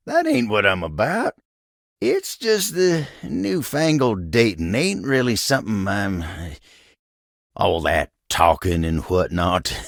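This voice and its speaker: Deep, gravelly folksy cowboy table. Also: male voice, a bit bright, dry recording, clean studio sound, full character voice. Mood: bashful, easygoing. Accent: American, folksy cowboy drawl. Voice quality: low, gravelly. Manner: folksy, drawling, friendly, reassuring. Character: folksy, kindly, earnest living table with cowboy air. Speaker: voice actor. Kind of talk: video game character dialogue.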